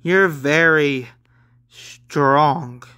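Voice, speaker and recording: A man's voice: fairly high pitch, drawn-out words, bright, forceful tone, heavily acted. A man voicing a forty-year-old captain in a manga story, solicitous and reassuring. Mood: concerned, reassuring.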